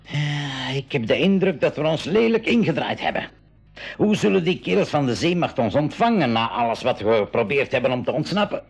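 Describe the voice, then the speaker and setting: A worried yet resolute inventor-captain, the uncle of the crew in an eighties school radio drama. His voice sits mid-low for speech, gravelly and husky, with lively swings in pitch. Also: brisk, faint music behind, full character voice. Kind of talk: 1980s school radio play acting.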